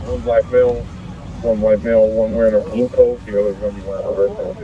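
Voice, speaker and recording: Fast clipped dispatcher on a distant radio. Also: male voice, on the low side, little pitch movement, narrow, tinny audio, music in the background. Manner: rapid, clipped radio codes. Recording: distant police radio speaker in a car.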